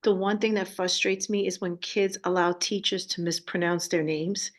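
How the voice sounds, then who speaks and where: A woman's voice: lowish voice, steady tone, normal speed. A woman teacher sharing her thoughts during a workshop discussion.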